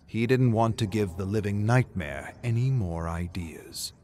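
A man narrates a scripted story in a dramatic style. His voice sits on the low side. A light bed of ambient noise runs behind it. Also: a little slow, dark tone.

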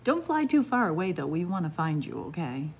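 A middle-aged woman librarian reading a picture book aloud to young children in a library, in a sing-song way with soft hushed asides. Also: on the low side, sing-song, brisk, a little hiss or hum. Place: library with bookshelves, indoors.

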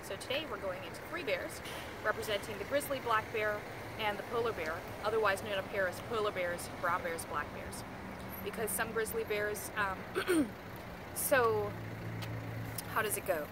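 A woman's voice: medium pitch, moderate pace. Chatty woman vlogging over store noise. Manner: chatty, wry price commentary. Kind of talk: casual shopping vlog. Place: outdoor parking lot, then inside a store.

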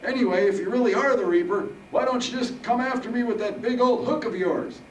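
A man voicing a stubborn, wisecracking old military veteran in a storybook reading, with folksy, gruff talk. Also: very high-pitched, a little fast, pitched above the performer's own voice. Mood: defiant, teasing. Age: older. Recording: roomy, distant mic, faint noise.

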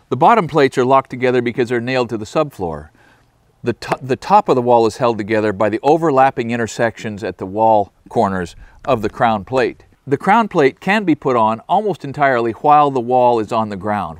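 A man's voice: mid-range voice, sing-song, fairly quick, no room sound. An older man, a carpenter, explaining his work in a plainspoken, practical way at an outdoor building site.

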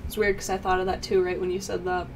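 A woman's voice: on the low side, little pitch movement, fast, recorded from a distance, noisy background. A young woman with a congested, stuffy voice, reading and chatting casually at her home streaming desk. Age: young adult. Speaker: streamer, co-host. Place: home streaming room, indoors.

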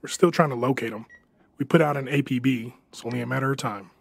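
A husky voice at middle pitch. A man acts an anxious male team leader in an audio drama, subdued and apologetic as he reports to a superior. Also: fast, no room sound. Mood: apologetic, nervous.

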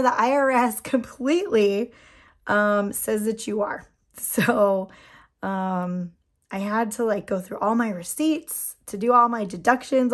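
Slow-talking woman losing her voice. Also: a little high. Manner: chatty and rambling, frequent ums and laughs. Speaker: nail product seller and channel host. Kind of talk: casual chat while doing a manicure. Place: indoors at a tabletop.

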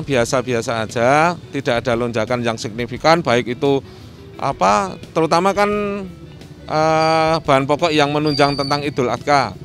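A middle-aged man with a Javanese Indonesian accent, an agriculture office official speaking on camera outdoors at a street market, pausing often with fillers. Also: on the high side, fairly bright tone. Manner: hesitant, with frequent fillers. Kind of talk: on-camera interview. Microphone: handheld station microphone.

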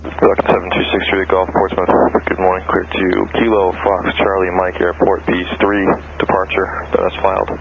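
A man working as an air traffic controller, reading clipped clearances over an aviation radio. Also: sits low, monotone, normal speed, hardly any pauses. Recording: muffled narrowband aviation radio, engine noise.

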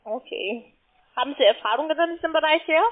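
High-pitched woman on the phone. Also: lively intonation, fairly quick. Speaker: call centre sales agent. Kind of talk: unscripted sales phone call. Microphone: telephone. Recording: muffled tinny phone line.

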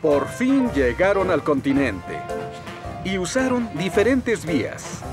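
A man's voice: high voice, huge pitch swings, slow pace, over music. A man narrating a children's cartoon story.

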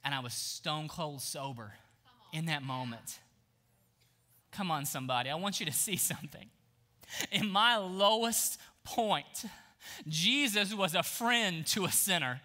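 Bright animated man preaching. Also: fairly high pitch, a little slow. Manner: animated, swings from hushed to shouting. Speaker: pastor. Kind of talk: energetic sermon. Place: large church stage.

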